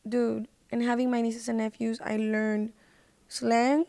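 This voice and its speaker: A woman's voice: on the high side, flat delivery, measured pace, vocal fry, warm tone, no echo. A woman podcast guest chatting casually in a laid-back way, with slangy hip-hop phrasing. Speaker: guest, musician and social media personality. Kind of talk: casual chat. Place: podcast recording.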